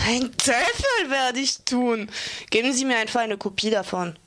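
A woman's voice: fairly high pitch, animated, moderate pace, a bit bright. A woman acting an assertive activist in an amateur radio play, speaking firmly and curtly with defiance. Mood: defiant, insistent. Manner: firm, curt, defiant. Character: Anna, assertive young activist woman facing a police search. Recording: noisy amateur recording with clicks.

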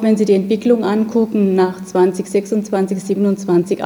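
Mellow-voiced woman presenting in a hall. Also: mid-range voice. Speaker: chief of a municipal utility. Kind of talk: formal financial presentation.

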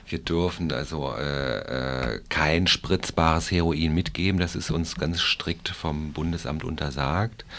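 A man's voice: rumbling low, fairly bright tone. A man giving explanatory interview answers as a clinic staff member, careful and formal in his wording, correcting himself as he goes.

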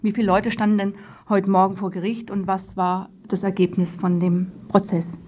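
Woman radio host, medium pitch, reading news bits and doing studio intros. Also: level tone, normal speed, mellow. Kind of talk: news magazine presenting, partly scripted.

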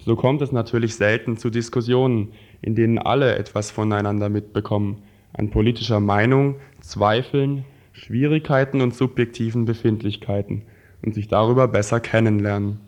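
Man, low register, scripted radio reading of written papers. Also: steady tone, moderate pace, a little mellow. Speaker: radio presenter reading quoted texts.

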